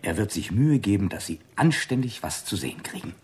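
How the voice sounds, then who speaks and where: Very husky low-voiced gentleman. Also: sing-song, a little fast, full character voice. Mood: wry, gracious. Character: polite gentleman diner, wry and self-effacing. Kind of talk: 1960s German radio drama acting.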